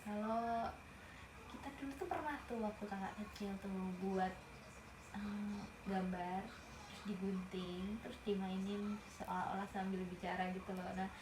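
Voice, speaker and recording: A woman's voice: mid-range voice, fairly even intonation. Woman reading a storybook aloud. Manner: pauses to explain the story to a young listener. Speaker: storybook reader. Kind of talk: reading a picture book aloud with chatty asides. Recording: roomy with noticeable background noise.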